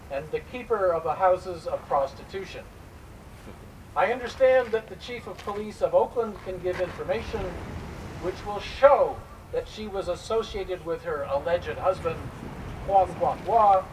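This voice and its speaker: A man playing a stern, suspicious immigration interrogator of the 1910s, formal and probing, in a dramatic reading. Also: high-pitched. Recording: distant microphone in noisy live room.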